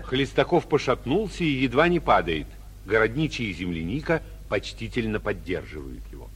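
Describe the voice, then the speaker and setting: A man's voice: fairly low pitch, very animated, normal speed, slightly rough. A man narrating the stage directions of a 1940s radio drama.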